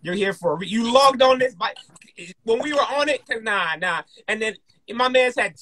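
A man's voice: very high voice, lively intonation, moderate pace, bright, forceful tone. A man preaching informally and encouragingly into a close microphone, emphatic and repeating phrases. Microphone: close podcast microphone with headset.

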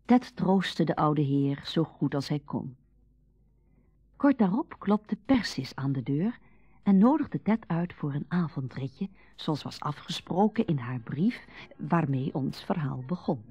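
A very husky woman with a low, mellow voice tells the story of a radio serial. The recording is dry and a little dull. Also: expressive, unhurried, no echo. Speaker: narrator. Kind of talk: scripted radio drama narration.

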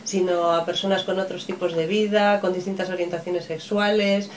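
A woman's voice: on the low side, steady tone, normal speed. A woman answering an interview in an earnest, thoughtful way.

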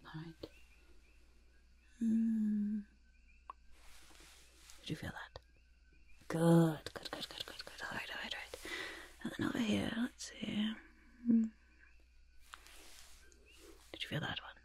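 A young woman voicing a fussy, sly, reassuring goblin in a soft-spoken ASMR roleplay, breathy and whispery, repeating soothing little phrases close to the microphone. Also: medium pitch, slow, long pauses, full character voice. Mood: soothing, careful. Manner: speaks of self in third person, repetitive soothing patter.

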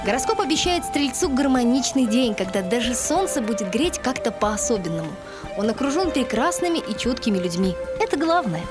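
A woman reading a scripted horoscope voice-over in a bright, sing-song manner, over music. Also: a little high, very animated, brisk. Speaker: horoscope announcer. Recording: studio voice-over over loud music. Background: loud music.

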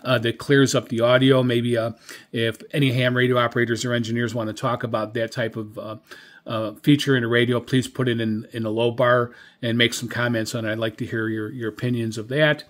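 A man's voice: medium pitch, level tone, fairly quick. A middle-aged or older man giving a casual product review as a hobbyist, in a hesitant, rambling, conversational way. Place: indoor room with radio equipment.

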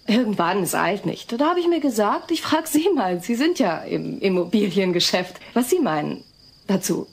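An actress playing a polite, tentative female homeowner in a dubbed sitcom audio drama, with a husky voice. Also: medium pitch, sing-song, moderate pace, heavily acted. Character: hesitant, polite female homeowner considering selling.

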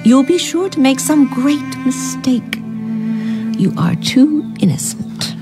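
A woman's voice: high voice, animated, takes it slowly, loud music behind the voice, exaggerated voice. A woman playing a haughty, disapproving upper-class aunt in a period radio drama, speaking with formal, dry disdain. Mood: stern.

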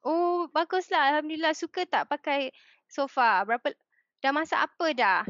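Woman, Malaysian accent, high voice, live online host, mixes Malay and English, dull dry video-call sound. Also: expressive, a little fast, fairly bright tone, no echo. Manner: code-switches between Malay and English. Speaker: host of a virtual cooking show. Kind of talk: live online hosting, casual chat with audience. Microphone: headset on a video call.

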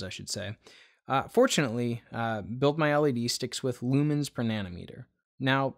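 A man in his thirties giving an informal explanatory tutorial as a video host, in a measured way, speaking straight to the viewer. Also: medium pitch. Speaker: video host, aquarium hobbyist explaining lighting.

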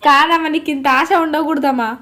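A woman's voice: high-pitched, steady tone, brisk, pushed and bright. A young woman coaxing and pleading with her mother during a prank. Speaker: daughter in on the prank.

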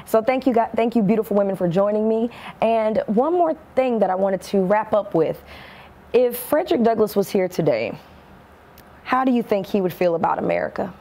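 Middle aged lady hosting a local tv chat, normal mid pitch. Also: expressive, moderate pace, dark tone. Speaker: interview host. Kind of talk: conversational local TV interview. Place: indoor sitting room set.

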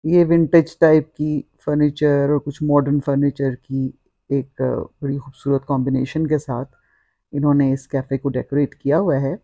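A woman's voice: extremely low pitch, level tone, normal speed, dark tone, no room sound, highs cut off. A middle-aged woman, narrating a walk-through vlog in a descriptive, explanatory way. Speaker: vlogger.

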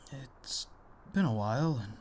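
A man playing a gentle, self-deprecating father in an ASMR roleplay, speaking softly and hesitantly to comfort his grown daughter. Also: mid-range voice, lively intonation, unhurried, slight background noise, natural voice. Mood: wistful, tender. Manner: hesitant, soft, soothing, with small chuckles. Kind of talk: intimate ASMR audio roleplay.

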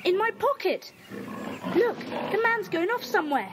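An actor playing a brisk, commanding boy who leads a children's club in an audio drama, on a hissy tape. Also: very high-pitched, very animated. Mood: eager. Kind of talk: radio play acting.

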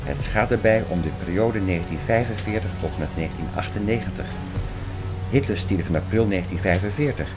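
A man's voice: fairly low pitch, fairly quick, over loud music, close to an everyday voice, low-quality recording. An actor playing a fervent man expounding apocalyptic theories in a radio play, insistent, didactic and declamatory. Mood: fervent, lecturing. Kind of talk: radio play dialogue, insistent lecturing and reciting.

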